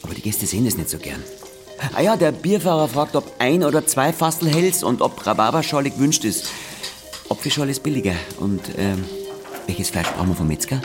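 A laconic Bavarian inn helper played by an actor in a radio drama. The delivery is deadpan and practical in dialect, yet swings widely in pitch, with clear music and sizzling behind. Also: brisk, close to an everyday voice. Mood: matter-of-fact. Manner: laconic, deadpan, matter-of-fact. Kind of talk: audio drama dialogue in dialect. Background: music and sizzling kitchen sounds.

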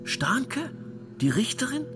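A man's voice: very high voice, big pitch swings, takes it slowly, full character voice. Pompous male witness over prominent music. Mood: indignant. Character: pompous, self-important male witness philosophizing in court. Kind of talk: radio drama dialogue.

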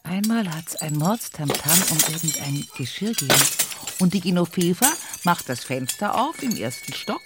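A woman's voice: on the low side, big pitch swings, normal speed, heavy background noise. An actress playing an older, pious, plain-spoken village woman with an Upper Palatinate Bavarian accent, reminiscing in a folksy radio play monologue. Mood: plain, devout. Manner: folksy, earthy dialect storytelling with emphatic repetitions.

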